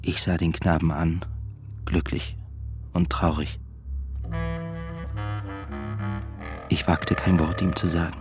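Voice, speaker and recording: A melancholy, self-loathing man confessing in a radio play. The voice is very deep and subdued, almost monotone, sometimes sinking toward a whisper. Soft music runs underneath. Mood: wistful, sad. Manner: brooding, deliberate, at times near whisper. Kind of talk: literary first-person monologue in radio play.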